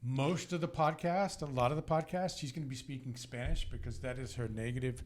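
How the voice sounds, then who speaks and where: A man's voice: mid-range voice, steady tone, a little fast. A man, hosting a real estate podcast in upbeat, friendly casual chat. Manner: upbeat, friendly, loose with fillers. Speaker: podcast host. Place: studio with guest beside him.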